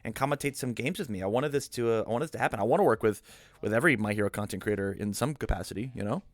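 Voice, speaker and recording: A man hosting casual game commentary in an informal, animated way, often repeating phrases for emphasis. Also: on the low side, racing, no echo. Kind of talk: casual podcast-like commentary chat.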